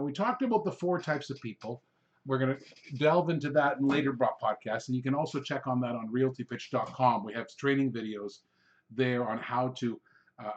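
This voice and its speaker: Hungarian-native man, rambling podcast host. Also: mid-range voice. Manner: loose, rambling coaching talk with frequent "um" and "all right?". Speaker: podcast host, real estate coach. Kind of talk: solo podcast monologue. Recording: dull lo-fi recording.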